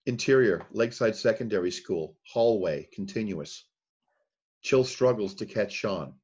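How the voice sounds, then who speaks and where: Casual: low husky guy reading out scene directions, muffled dull recording. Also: measured pace. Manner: plain, steady reading of scene headings and action. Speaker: narrator of a screenplay reading. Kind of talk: reading stage directions aloud.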